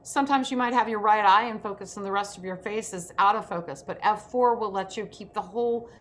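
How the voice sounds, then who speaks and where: A woman's voice: mid-range voice, a little fast. A woman hosting a casual, instructional panel chat over a video call. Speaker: host of an industry program for actors. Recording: noisy video call audio.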